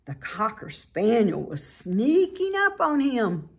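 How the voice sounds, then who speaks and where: A middle-aged woman with a slightly husky voice reads a children's story aloud expressively, like a teacher. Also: fairly high pitch, huge pitch swings, takes it slowly. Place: indoor room at home by a staircase.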